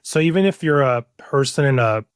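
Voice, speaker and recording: A man's voice: medium pitch, expressive, a little fast, no echo, studio quality. A man hosting a conversational podcast, reflective and wordy as he frames his thoughts and questions. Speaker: podcast host.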